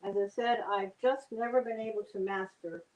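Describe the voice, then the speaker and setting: A woman's voice: medium pitch, steady tone, moderate pace, a little mellow, reverberant, low-quality recording. An older woman from New Jersey, a guest cook explaining recipe steps plainly in a homey, chatty way. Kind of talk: cooking demonstration chat.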